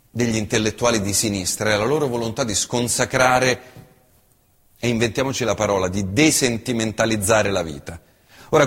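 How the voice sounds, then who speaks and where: Husky-voiced man declaiming in a hall. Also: mid-range voice. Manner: impassioned declamation swinging from hushed to loud. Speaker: stage actor. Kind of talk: theatrical monologue reciting an essay. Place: theatre stage.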